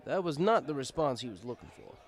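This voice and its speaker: A man's voice: medium pitch, big pitch swings, fast. A man reading a first-person story aloud as narrator, in a conversational, wry storytelling style.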